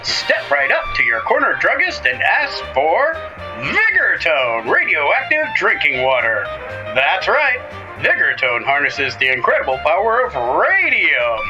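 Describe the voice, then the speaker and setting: Male 1950s radio parody pitchman, shamelessly upbeat salesman, hammy, big pitch swings, loud music behind. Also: lo-fi sound. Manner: hammy, exclamatory salesman delivery.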